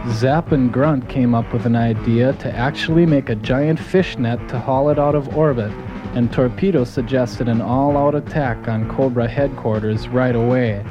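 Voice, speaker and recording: A man's voice: medium pitch, normal speed, close to an everyday voice. A man playing a folksy, gung-ho soldier who narrates his team's mission in a scripted audio drama. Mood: earnest storytelling. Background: music.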